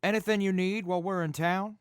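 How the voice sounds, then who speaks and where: A man's voice: sits high, fairly even intonation, fairly quick, clear, resonant tone, dry recording, clean studio sound, lightly acted. A man playing a practical, wary wasteland traveler in an audio drama.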